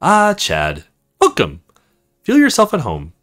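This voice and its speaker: A man's voice: fairly high pitch, huge pitch swings, slow pace, a bit bright, soft background music, full character voice. A man voicing an imperious, self-satisfied woman in command in a visual novel, haughty and approving. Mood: welcoming.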